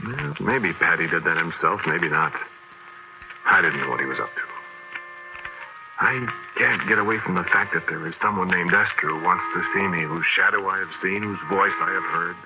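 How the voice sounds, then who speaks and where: An actor playing an uneasy, skeptical man who narrates his haunting haltingly in a 1940s radio horror drama, on a noisy old recording. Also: sits low, music in the background. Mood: brooding narration. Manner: hesitant, brooding, halting.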